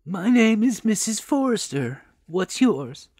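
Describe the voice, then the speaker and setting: Narrator putting on a high-pitched, fussing old grandmother. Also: sing-song, slow, a little mellow, dry recording, studio quality, heavily acted. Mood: urging, kindly. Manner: motherly, reassuring, emphatic. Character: kindly, chatty old grandmother, fussing and protective.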